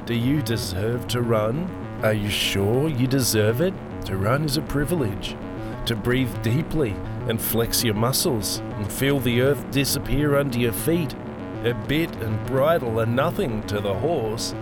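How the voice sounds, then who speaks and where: A man's voice: medium pitch, unhurried, loud music behind the voice, lightly acted, poor audio. Man doing an unhinged, melodramatic young fop. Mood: growling menace. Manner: affected, melodramatic. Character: foppish, whining, menacing unhinged man in his twenties.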